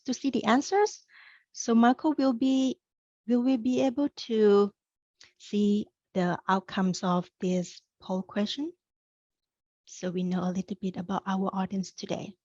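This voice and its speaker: A woman's voice: medium pitch, a little slow, darkish tone, no room sound, muffled recording. A middle-aged woman from Thailand, a career coach presenting a webinar, speaking in an upbeat, encouraging way straight to her audience.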